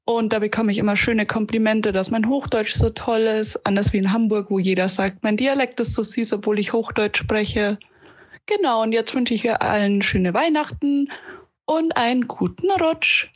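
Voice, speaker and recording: Cheerful woman with a fairly high voice. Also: moderate pace. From Bavaria, Germany. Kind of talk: personal greeting.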